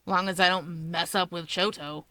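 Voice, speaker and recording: A woman voicing a sardonic, lazy young man in a story, with a flat, dry drawl. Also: lowish voice, moderate pace, no room sound.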